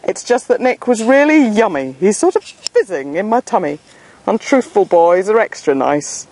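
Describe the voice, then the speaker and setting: A woman's voice: medium pitch, very animated, normal speed, darkish tone. Lady doing a hungry wolf from a kids storybook, greedy, menacing but polite, savours the rhymes. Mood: smug relish. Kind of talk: rhyming storybook read aloud.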